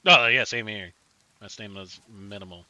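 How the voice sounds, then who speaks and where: A man talks through his game session in loose, rambling chat with his squad. His voice sits fairly low but has a hard, very bright edge. He sounds chatty and unhurried. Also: no echo. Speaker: streamer. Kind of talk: casual gaming chat with teammates.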